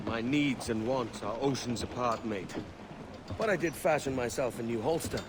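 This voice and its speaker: An actor playing a brash, roguish young pirate captain with a Welsh accent in a cinematic video game. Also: male voice, mid-range voice, moderate pace, background noise, natural voice. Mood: easy, wry. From Wales. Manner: swaggering, salty old seafaring turns of phrase. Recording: music under the voice.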